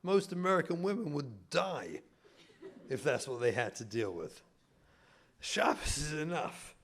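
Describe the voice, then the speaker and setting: A man's voice: a little high, big pitch swings, measured pace, fairly bright tone. A middle-aged man from England with an English accent, a rabbi giving a humorous talk to an audience in a community hall, telling stories with mock-indignant asides.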